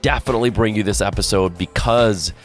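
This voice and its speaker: Deep-voiced sick man hosting a podcast. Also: fairly quick, soft background music. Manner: energetic, chatty, repeats phrases. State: under the weather, voice deeper than usual. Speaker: podcast host. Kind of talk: casual live Q&A talk.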